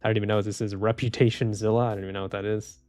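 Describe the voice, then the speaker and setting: A man live streaming and reading chat, speaking softly and calmly with frequent restarts. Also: fairly low pitch, racing, no room sound. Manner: soft-spoken, calm, trailing off with restarts and small laughs. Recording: dry close mic, faint music underneath.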